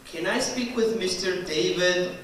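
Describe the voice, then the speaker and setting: A man from Georgia with a Georgian accent, a non-native English speaker doing a deliberate, self-deprecating stand-up comedy routine. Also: sits high, a bit bright. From Georgia (the country). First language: not English. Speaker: stand-up comedian, teaching assistant. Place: live stage performance in university room. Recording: echoey room, distant mic, audience noise.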